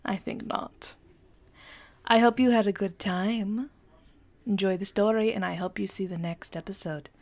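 A woman's voice: medium pitch, fairly even intonation, unhurried, darkish tone. A woman presenting the end of a show with a playful sign-off to viewers. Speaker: credits presenter and singer.

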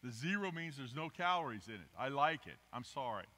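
An older man preaching a rambling, self-deprecating storytelling sermon at a podium microphone in a church sanctuary, swinging between loud emphasis and quiet asides. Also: mid-range voice, very animated, a little fast. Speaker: guest preacher. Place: church sanctuary, large indoor room. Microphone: podium microphone, filmed from the pews. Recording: reverberant church hall.

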